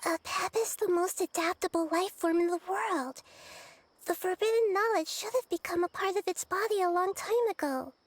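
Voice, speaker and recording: A woman voicing a wise, thoughtful childlike girl deity in a video game, explaining in a measured, reflective way. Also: extremely high pitch. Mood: concerned, thoughtful. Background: light background music.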